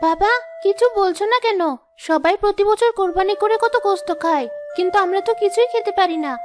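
A woman's voice: very high-pitched, fairly quick. A woman voicing a sad, insistent young village girl in a cartoon, plaintive and questioning. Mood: pleading. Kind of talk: cartoon dialogue dub. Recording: music under the voice.

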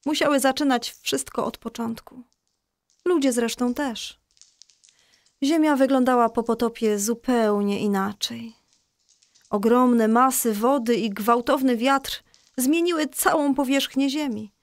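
An actress playing a patient mother explaining Bible stories in a measured way in a children's audio drama. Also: a little high, steady tone, no echo. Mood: explanatory.

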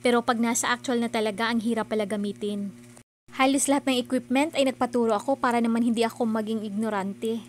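A woman's voice: a little high, fairly even intonation, fast, a little hiss or hum. A middle-aged woman, narrating her own vlog in a chatty, earnest, encouraging voice-over. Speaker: vlogger.

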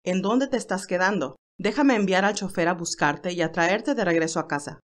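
A woman's voice: lowish voice, moderate pace, natural voice. A woman voicing a loyal, anxious male assistant in an audiobook, formal and deferential. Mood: anxious concern.

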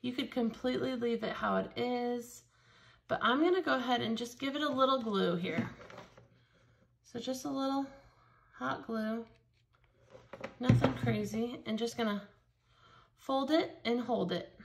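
An enthusiastic woman walks through a craft project at her table, her voice a touch higher than most and roughened with a gravelly fry. She chats casually and warmly about each step as she works. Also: measured pace, pauses often, noisy background. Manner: chatty and enthusiastic, talks while working with her hands. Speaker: craft store instructor. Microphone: overhead camera, only her hands seen.

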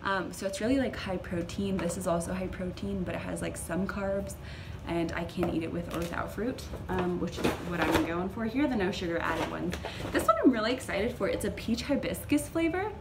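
A woman's voice: on the low side, moderate pace, heavy background noise. A young woman in her twenties chatting casually about her grocery haul, upbeat and full of little fillers, recorded at home on a close camera. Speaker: vlogger. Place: small indoor room at home.

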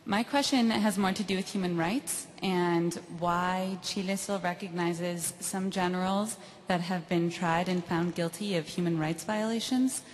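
An American woman asks a direct, composed question from the audience. Her voice sits low for a woman and trails off in a long hall reverb. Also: fairly even intonation, measured pace, few pauses, a little hiss or hum. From United States. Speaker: student asking a question.

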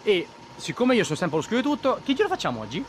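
A man's voice: high-pitched, huge pitch swings, rapid-fire. A man giving casual, exclamatory commentary as he hosts a motorcycle vlog outdoors. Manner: effusive, exclamatory, enthusiastic. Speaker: motovlogger host. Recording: loud wind and engine noise.

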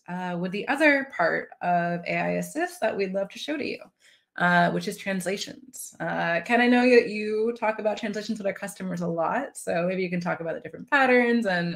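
A woman in her thirties presenting a software demo in a conversational way on a video call. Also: mid-range voice, creaky. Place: small indoor room on a video call.

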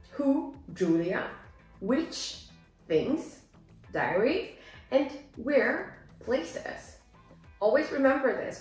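A young woman working as an English teacher, reading a simple story aloud and explaining words to learners in a deliberate, explanatory manner. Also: mid-range voice. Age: young adult. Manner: deliberate, clearly explanatory, gesturing as she teaches. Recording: echoey room, music underneath. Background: background music.

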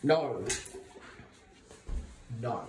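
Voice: animated, drawn-out words, heavy background noise. Mocking Australian speaker, echoey bedroom. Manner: mocking, bossy, jumps between high and low. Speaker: vlogger filming a family skit. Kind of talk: casual teasing banter. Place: small bedroom with blinds and a gaming desk. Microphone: handheld phone.

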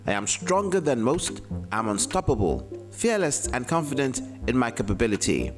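Expressive man reciting over music. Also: medium pitch, moderate pace. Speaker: poetry reader. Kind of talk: dramatic poetry recitation.

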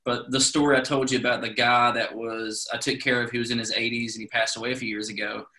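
A man's voice: medium pitch, flat delivery, fast talker, pushed and bright, rough recording. A man hosting a casual podcast, laid-back and slangy, calling people dude. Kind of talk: casual chat.

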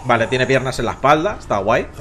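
A man's voice: medium pitch, sing-song, fast, noisy background. A man in his thirties from Barcelona with a Spanish accent, chatting casually and swearing while streaming a game from a room at home. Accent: Castilian Spanish from Barcelona. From Catalonia, Spain. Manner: chatty, swearing, joking with chat. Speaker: gaming streamer. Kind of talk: casual live gameplay commentary.

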